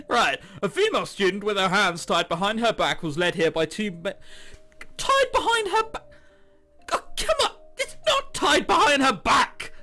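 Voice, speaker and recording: High animated bright guy reading a visual novel, jokey and incredulous, game music behind. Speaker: game streamer host. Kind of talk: commentary while reading a visual novel aloud. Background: game background music.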